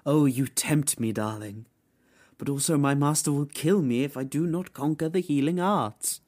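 A man's voice: fairly high pitch, normal speed, darkish tone, voice pushed up, natural voice. A man voicing a flirtatious, theatrical servant who studies healing, drawing out his words for an audio drama. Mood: teasing, dramatic. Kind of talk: audio drama character acting.

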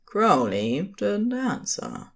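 A woman's voice: mid-range voice, sing-song, extremely slow, gravelly. A woman narrating scripted fiction as the storyteller of an audio drama.

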